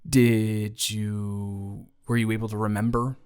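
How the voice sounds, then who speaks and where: A man's voice: fairly low pitch, little pitch movement, slow pace, darkish tone, smooth. A man playing a caring, awkward young roommate in an improvised intimate audio roleplay, speaking casually with rambling, stammering, reassuring hesitation. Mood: gentle reassurance.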